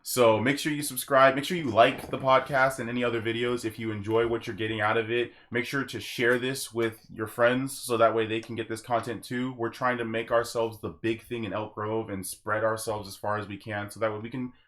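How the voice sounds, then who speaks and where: A man's voice: mid-range voice, flat delivery, a little fast, few pauses, fairly bright tone. A man hosting a casual podcast, talking earnestly and at rambling length to his listeners. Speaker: podcast host.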